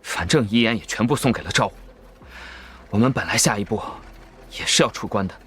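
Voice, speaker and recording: An actor playing a wary young Taoist leader in an audio drama, giving clipped, urgent, commanding lines. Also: male voice, on the low side, fairly quick, fairly bright tone, natural voice. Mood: grim, resolute. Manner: clipped, terse orders. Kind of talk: Chinese audio drama acting.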